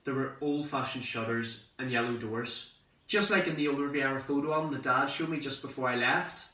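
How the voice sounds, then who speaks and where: A man acts out a cheeky young man, full of excitement, in a theatrical monologue. The voice is bright and medium-pitched, with dramatic pauses and conversational storytelling. It sounds echoey, recorded at a distance from the microphone. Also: close to an everyday voice. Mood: awed. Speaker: amateur actor performing a monologue. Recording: roomy echo, distant microphone.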